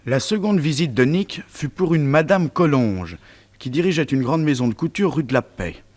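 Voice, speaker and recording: A man's voice: fairly high pitch, expressive, normal speed, a little mellow. A man narrating a 1960s French radio mystery in a scripted style.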